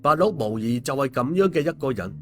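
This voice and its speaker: A man's voice: mid-range voice, lively intonation, brisk, faint music behind. A man with a Hong Kong Cantonese accent narrating stories and commenting on them in a colloquial, opinionated way as host. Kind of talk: story narration and casual commentary.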